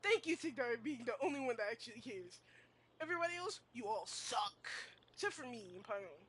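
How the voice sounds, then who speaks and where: A woman's voice: fairly high pitch, animated, fairly quick, a bit bright, breathy edge. A woman streamer giving casual, reactive game commentary in a slangy, sweary, gushing way. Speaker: gaming streamer.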